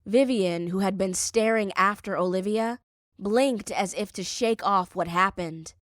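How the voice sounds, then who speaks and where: A woman's voice: medium pitch, a little slow, dry recording, studio quality. A woman reading scripted first-person fiction as an audiobook narrator.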